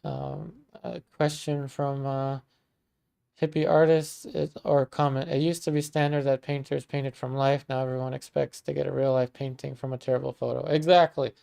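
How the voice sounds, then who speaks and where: A man in his thirties from Maryland talks through a painting livestream in a relaxed, rambling way and answers viewer comments as they come in. His mid-range voice has a gravelly rasp. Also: medium pitch, normal speed. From Maryland, USA. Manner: relaxed and chatty, many ums and restarts, warm thanks to viewers. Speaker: art teacher livestreaming a painting session. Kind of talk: casual livestream chat, reading viewer comments.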